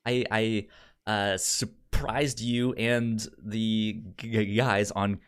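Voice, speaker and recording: A man's voice: on the low side, steady tone, slow, clear, resonant tone. A man co-hosting a casual film podcast, stuttering and often repeating sounds and syllables as he talks. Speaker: podcast co-host.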